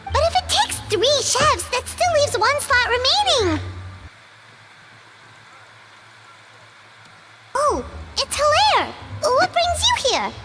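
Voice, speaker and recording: A woman's voice: very high-pitched, big pitch swings, unhurried, over loud music, full character voice. A woman voicing a tiny cheeky girl companion who brags and gets indignant. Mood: eager. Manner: bouncy, boastful, indignant.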